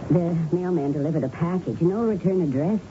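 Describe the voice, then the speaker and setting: A noisy, dull-sounding vintage radio drama. A woman plays a gentle, grateful widow with a fairly low voice. She speaks hesitantly and with plain sincerity. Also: a little fast, natural voice. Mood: wondering. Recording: muffled vintage radio, noise behind.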